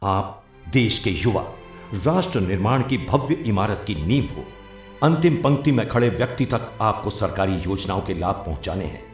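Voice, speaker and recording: A man's voice: on the low side, animated, moderate pace. A man narrating a formal, scripted documentary-style government training film. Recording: roomy echo, music behind. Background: background music.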